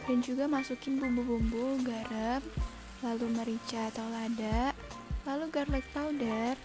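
A young woman from Indonesia narrating her daily vlog as a calm, unhurried voice-over, recorded separately in a quiet room. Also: on the high side. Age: young adult. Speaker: vlogger. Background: soft background music.

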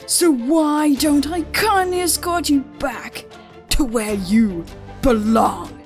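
A woman's voice: high-pitched, slow, soft background music. A woman voicing a poised, confident giant moth monster queen with a commanding manner in a cartoon comic dub.

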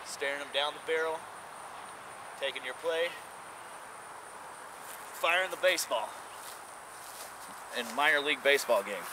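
A man's voice: on the high side, unhurried, pauses often. A young man giving a casual, folksy guided tour to camera, recorded outdoors at an abandoned baseball stadium. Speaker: urban exploration vlogger. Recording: outdoor camera audio with noise.

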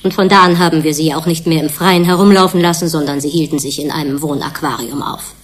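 Actress as deep-voiced, firm female scientist. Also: steady tone, quick pace, natural voice. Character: firm, matter-of-fact female hydrobiologist. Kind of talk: German radio drama acting.

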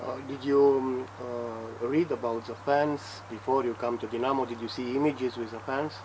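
A man's voice: medium pitch, steady tone, normal speed. A man asking brief, hesitant interview questions. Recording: distant voice with background noise.

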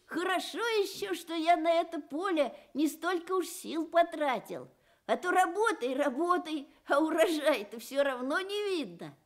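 A lazy, boastful wild boar from a radio fairy tale, acted out by a woman. He muses slowly and smugly, with a very animated swing in the voice. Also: very high-pitched, pitched above the performer's own voice. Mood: surprised, smug. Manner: musing, boastful. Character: lazy, self-satisfied male wild boar.

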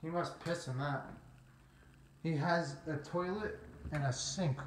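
A man's voice: a little high, slow pace. A young man giving casual, nervous, sweary commentary while exploring inside a house with a handheld camera. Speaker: video host exploring abandoned houses. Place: inside a cluttered abandoned house. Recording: noisy handheld camera recording.

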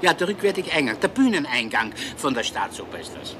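An actor playing a genial, talkative middle-aged police inspector with a Viennese accent in a radio crime drama. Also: male voice, fairly high pitch, fairly quick. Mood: chatty. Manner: chatty, easygoing, lively. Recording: hissy old radio recording.

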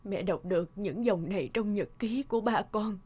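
Woman playing a grieving cartoon mom, middle-aged, mid-pitched, tender and sad. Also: normal speed, a little mellow, breathy edge, natural voice. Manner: sorrowful, tender. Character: grieving, devoted middle-aged mother and widow. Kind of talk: cartoon dubbing.

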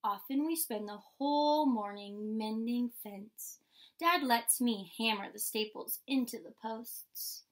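A young adult woman reading a picture book aloud like a teacher, in a measured, instructional way. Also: a little high. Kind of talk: picture-book read-aloud with short explanations. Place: indoor room with painted mural wall.

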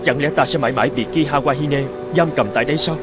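A man's voice: medium pitch, quick pace, loud music behind the voice, natural voice. A man voicing an earnest captive island chieftain in a cartoon fairy tale, protesting and pleading. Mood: wistful. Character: brave adult male island chieftain held captive.

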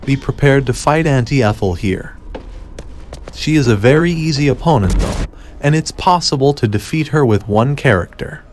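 A man gives scripted, instructional narration for a video game walkthrough. His voice sits at a middling pitch and stays matter-of-fact, with faint game ambience underneath. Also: animated, moderate pace, a little hiss or hum.

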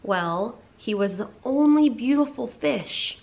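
A woman in her thirties reading to children as a story time host, with expressive, clearly phrased delivery. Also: a little high, slow, dull audio. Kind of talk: children's picture book read-aloud.

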